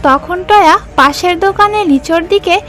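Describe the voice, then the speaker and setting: A woman's voice: extremely high pitch, moderate pace, sharp tone, faint music behind. A woman narrating a children's cartoon story from a script.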